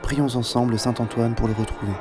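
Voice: mid-range voice, fairly even intonation, a little fast. A narrator telling a scripted travel diary with playful mock reporting. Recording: music and loud crowd behind voice.

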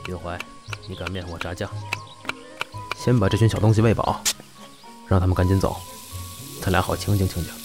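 Low, unhurried middle-aged master, acted. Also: male voice, fairly even intonation, creaky. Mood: wry. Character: unhurried, fatherly male crosstalk master. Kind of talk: audio drama acting. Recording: voice over prominent background music.

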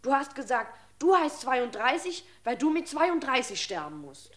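A woman's voice: high-pitched, very animated, moderate pace. An actress playing a cheeky, teasing young boy in a radio drama. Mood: innocent. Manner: teasing, playful.

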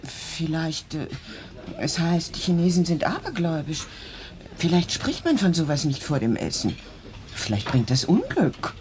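A woman's voice: low voice, sing-song, unhurried, background noise. An actress playing a naive, gushing, easily shocked woman out to dinner in a radio drama, with a fluttery, polite manner. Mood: solicitous, shy.

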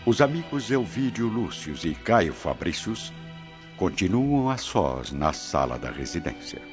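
A man's voice: deep voice, very animated, unhurried. A man narrating a radio drama from a script in a solemn storytelling manner. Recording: muffled sound with prominent music.

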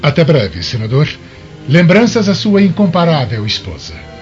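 Courtly, animated Roman governor. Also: male voice, a little high, normal speed. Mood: solicitous. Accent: Brazilian Portuguese. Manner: formal, effusive, ingratiating. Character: courtly, unctuous Roman governor, mature man. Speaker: actor in a radio drama. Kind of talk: radio soap opera acting. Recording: dull lo-fi radio drama, music behind.

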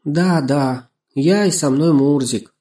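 Tiny storybook little man, done by a male reader, gentle and earnest, lightly raised pitch, soft-edged tone. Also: slow. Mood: eager. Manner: earnest, thoughtful. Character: tiny friendly little man, gentle fairy-tale creature.

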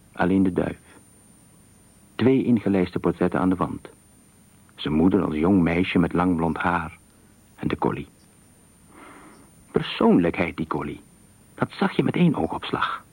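A man narrating a literary radio thriller story in a measured, expressive storytelling manner. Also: low voice, slightly rough, dry recording.

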